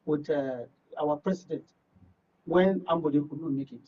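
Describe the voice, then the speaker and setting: A man's voice: fairly high pitch, a little slow, husky, recorded from a distance. A Nigerian man giving political commentary as a panel guest, emphatic and prone to repeating his phrases. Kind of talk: animated panel discussion. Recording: dull audio, away from the mic.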